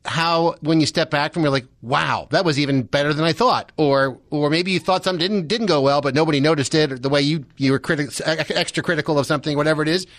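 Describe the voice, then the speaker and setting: A man's voice: fairly high pitch, quick pace, few pauses, fairly bright tone, dry recording. An American man hosting a radio interview in a conversational, encouraging way, rephrasing his questions as he goes. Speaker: radio show host.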